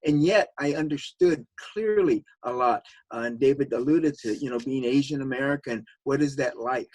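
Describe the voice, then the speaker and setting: A man's voice: medium pitch, normal speed, a little mellow. A man with a mid-range voice talks through his thoughts with repeated words and fillers. The sound is dry, with no room echo. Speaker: panelist. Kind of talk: reflective panel discussion. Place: video call.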